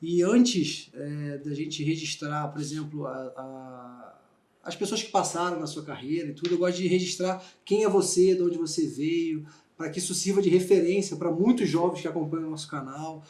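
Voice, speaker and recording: A man's voice: a little high, moderate pace, a little mellow. A man hosting a podcast, asking friendly, rambling questions. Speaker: podcast host. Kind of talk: casual podcast interview. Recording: roomy echo.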